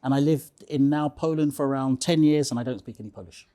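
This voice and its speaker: A man's voice: mid-range voice, quick pace, dark tone. A man speaking casually as an interview guest, telling anecdotes emphatically, repeating phrases and checking in with the listener. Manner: emphatic, repeats phrases, tags statements with "okay?".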